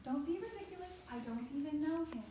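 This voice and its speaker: A woman plays a young single office worker, romantic and exasperated, in a stage play. Her high voice is dramatic and emphatic. It carries from a distance in a very echoey room. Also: expressive, a little fast, dark tone. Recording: very echoey room, distant mic, faint noise.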